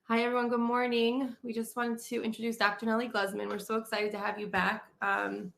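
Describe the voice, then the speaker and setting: A woman hosting a nonprofit webinar, chatty and halting with many fillers, congested from a cold, over a video call. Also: fairly high pitch, little pitch movement, creaky, a bit bright, reverberant. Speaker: nonprofit host. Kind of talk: webinar introduction.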